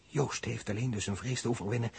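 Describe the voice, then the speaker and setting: A man's voice: medium pitch, fast. An actor playing a doubtful, puzzled observer, a man in a Dutch 1960s radio drama. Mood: doubtful, concerned. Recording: rough 1960s radio recording.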